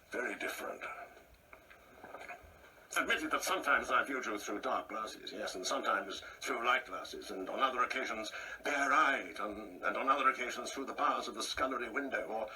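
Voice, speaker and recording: A man's voice: mid-range voice, bright, forceful tone, distant mic, rough recording. An actor playing a proud, insecure older man in a radio play, speaking in a pompous, precise, theatrical way. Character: proud, insecure ageing man, bitterly reminiscing and taunting.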